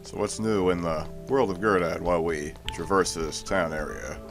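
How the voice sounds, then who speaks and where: A man gives casual, joking commentary on a game. His voice is on the low side and his telling is wordy and playful. Music is clearly audible behind him. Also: fairly even intonation, moderate pace. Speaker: let's play co-commentator.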